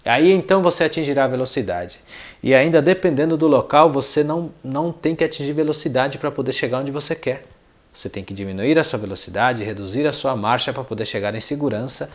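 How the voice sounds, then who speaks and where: A man's voice: mid-range voice, fairly quick. A man from Paraguay with a Paraguayan accent, a non-native speaker giving an informal lesson to viewers in a didactic way, repeating words and posing questions. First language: Spanish and Guarani. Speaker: language teacher and video channel host.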